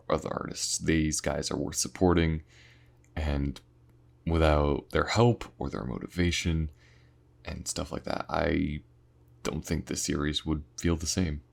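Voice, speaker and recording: Very deep, gravelly-voiced man talking casually. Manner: informal, halting, earnest delivery. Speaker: voice actor and audio series creator. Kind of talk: casual thank-you talk to viewers.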